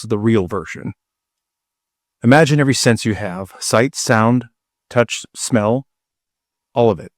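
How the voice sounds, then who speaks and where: A man delivering a scripted personal monologue as a channel host, solemn and deliberate, with dramatic pauses between short phrases. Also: mid-range voice, no echo, clean studio sound. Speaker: video channel creator and host.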